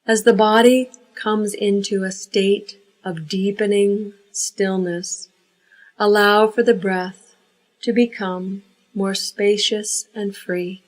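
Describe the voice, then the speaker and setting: A woman leading a guided yoga nidra relaxation, giving unhurried, measured instructions. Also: medium pitch, steady tone, rough recording.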